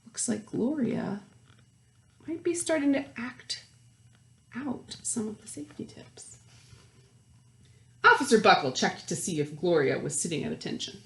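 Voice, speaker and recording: Woman, children's storytime reader, lively pitch swings, explanatory asides, questions to young listeners, roomy echo. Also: measured pace, creaky, long pauses. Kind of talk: expressive picture-book read-aloud with chatty asides.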